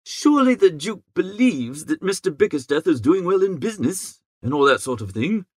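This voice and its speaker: A man playing a good-natured, easily flustered young upper-class idler who narrates an audiobook in a chatty, slangy, expressive way. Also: high-pitched, moderate pace, no room sound, studio quality. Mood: alarmed, concerned. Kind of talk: first-person audiobook narration.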